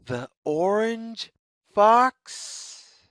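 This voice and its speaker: A woman reading a picture book aloud to young children as a narrator, with deliberate, measured, sing-song phrasing. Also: mid-range voice, big pitch swings, no echo, studio quality.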